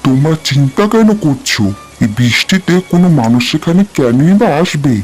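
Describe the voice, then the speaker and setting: Man acting a grand, lively shrimp king. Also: on the high side, normal speed, poor audio. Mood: carefree. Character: regal, kindly shrimp king, grateful and grand. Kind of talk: cartoon fairy tale acting.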